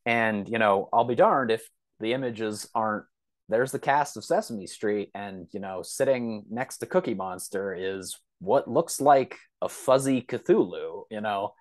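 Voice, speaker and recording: A man with a fairly low voice chats as an interview guest. He explains things discursively, wandering into examples and asides. The sound is dry and close, with little room to it. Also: unhurried, dry recording. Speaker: podcast guest, science fiction author. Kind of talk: casual podcast interview talk, explaining.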